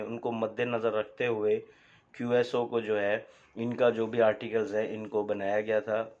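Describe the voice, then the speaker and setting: A man with a mid-pitched voice and a Pakistani accent delivers an explanatory lecture on a muffled, low-fidelity recording. He teaches step by step, posing questions and then answering them himself. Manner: didactic, asks and answers own questions. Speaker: law lecturer. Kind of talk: explanatory lecture, mixing Urdu with English legal terms. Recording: dull, lo-fi sound.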